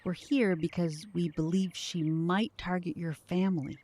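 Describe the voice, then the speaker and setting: An actress playing a focused, supportive young woman, steady and earnest, in an audio drama. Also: on the low side, measured pace.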